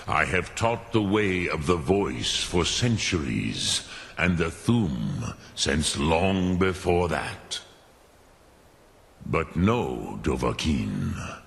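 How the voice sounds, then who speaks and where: An ancient, wise dragon teacher in a fantasy game. The voice is extremely deep and gravelly, unfolding very slowly in ponderous, contemplative musings. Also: male voice, big pitch swings, a bit bright, exaggerated voice. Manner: ponderous, musing, pausing, sprinkles in dragon-tongue words. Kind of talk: fantasy video game acting.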